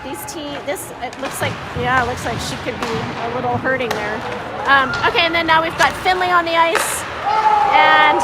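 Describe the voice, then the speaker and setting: A high-pitched, slightly scratchy female voice calls a hockey game informally. She sounds like an amateur, halting and openly unsure as she follows the play. Loud music and crowd noise fill the arena behind her. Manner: halting, unsure, self-deprecating, conversational. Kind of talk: informal live hockey commentary. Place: indoor ice hockey arena.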